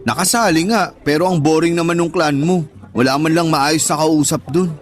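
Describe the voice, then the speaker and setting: A man's voice: on the high side, normal speed, close to an everyday voice. A man with a Filipino accent acting a cheeky, eager guy in his early twenties, playful and colloquial, in a radio drama. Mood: curious, complaining. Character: cheeky lovelorn 22-year-old guy, eager younger friend. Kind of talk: Tagalog radio drama dialogue.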